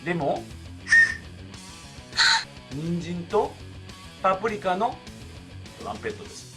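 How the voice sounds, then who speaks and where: A woman's voice: lowish voice, sing-song, fairly quick, music in the background. A woman from Japan giving a casual demonstration of homemade instruments.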